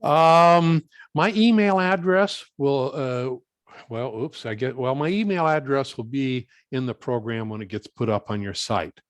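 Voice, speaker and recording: A man speaking as a guest presenter, answering questions informally in a plain-spoken, halting, step-by-step way. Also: medium pitch, dry recording. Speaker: guest presenter and volunteer coordinator.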